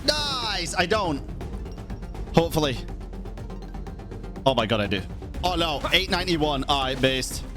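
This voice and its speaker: A young man doing casual, slangy game-stream commentary, reacting out loud and swearing freely. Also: a little high, sing-song, a little slow, long pauses, music in the background.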